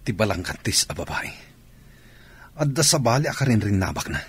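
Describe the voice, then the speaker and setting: A man playing a bitter, suspicious husband in an Ilocano radio drama, with a rough, accusing voice. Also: fairly low pitch, sing-song, fairly quick, a bit bright, full character voice. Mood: jealous, accusing.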